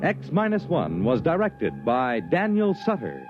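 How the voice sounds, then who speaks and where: A man announcing a 1950s radio show in a formal, dramatic manner. His pitch sweeps widely from phrase to phrase. The old broadcast sounds dull, with clear music behind him. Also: huge pitch swings, unhurried.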